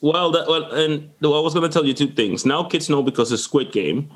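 A man's voice: a little high, a little fast. A man from the Dominican Republic hosting a podcast, asking rambling, chatty questions with frequent restarts. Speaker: podcast host. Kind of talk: casual interview chat.

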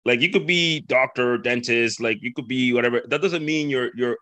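A lively host from the Dominican Republic chatting on a dry, close mic with no room sound. His speech runs in loose, run-on thoughts, and he lifts his pitch to act out other people's voices. He sounds casual and animated, like in a laid-back interview. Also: normal speed, no echo. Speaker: podcast host.

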